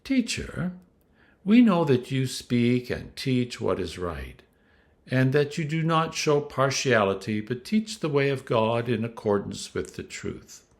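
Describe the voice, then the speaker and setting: A man's voice: mid-range voice, very animated, unhurried, gravelly. A man voicing sly, falsely polite male questioners in a scripture reading, formal and pointed.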